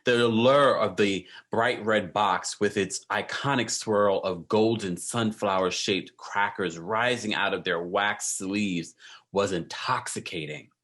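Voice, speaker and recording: A man's voice: fairly low pitch, takes it slowly, a bit bright. A man hosting an online video, chatting casually and conversationally straight to his viewers. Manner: conversational, addresses viewers directly.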